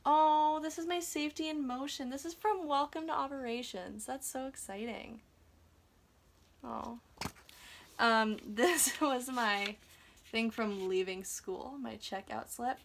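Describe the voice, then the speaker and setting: A woman's voice: sits high, measured pace, vocal fry, slight background noise. A young woman talking casually in a chatty, self-deprecating way, like a vlogger sharing advice. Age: young adult.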